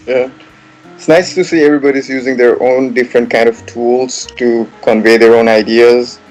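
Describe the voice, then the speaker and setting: A man co-hosting an online painting contest, a former contestant, casual and excited, often saying "like". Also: medium pitch, normal speed, soft background music, low-quality recording. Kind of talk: live online commentary.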